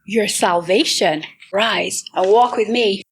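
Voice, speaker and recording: A woman playing a gentle, solemn, childlike spirit in an audio drama, speaking in slow, otherworldly pronouncements. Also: mid-range voice, breathy edge.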